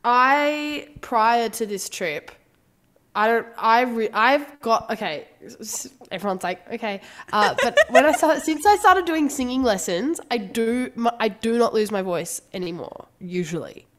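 A woman's voice: a little high, expressive, a bit bright. A young woman co-hosting a podcast, chatting casually with a sore throat and dropping the odd swear. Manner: chatty, swearing, quick asides. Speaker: podcast co-host. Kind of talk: casual chat.

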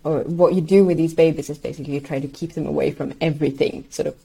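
A woman whose first language is Swedish speaks in a lowish, mellow voice. She explains things patiently as an interview guest, pausing often and searching for words. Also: fairly even intonation, vocal fry, slightly rough. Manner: hesitant, searching for words, many fillers. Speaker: guest researcher.

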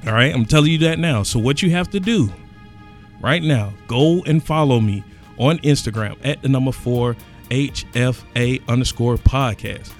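A man hosts a casual sports podcast, talking in an animated, emphatic and colloquial way. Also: mid-range voice, moderate pace, soft background music.

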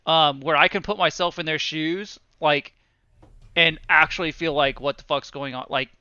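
A man's voice: on the high side, fairly even intonation, normal speed, bright, forceful tone, clean tone, dry recording. A man in his thirties co-hosting a podcast, rambling casually about films with many ums, self-deprecating asides and swearing. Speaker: podcast co-host. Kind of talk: casual movie review chat. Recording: dry, dull lo-fi sound.

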